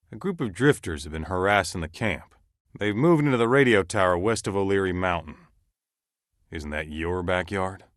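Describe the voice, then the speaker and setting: Casting: hard-bitten American survivalist boss for a game. Low register, very expressive, folksy and commanding. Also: male voice, dry recording, clean studio sound. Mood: stern. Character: gruff male survivalist camp leader, stern and hard-bitten. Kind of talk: video game character dialogue.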